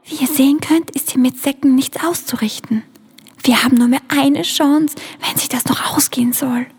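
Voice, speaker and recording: A child acting a gentle, worried Christmas spirit with a breathy, half-whispered voice in a school radio play. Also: high-pitched.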